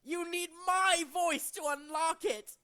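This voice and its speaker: A man's voice: very high voice, fairly even intonation, slow, pushed and bright, pitched above the performer's own voice, exaggerated voice. A man voicing a smug, boastful male impostor villain from a video game, hammy and easily flustered. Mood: indignant.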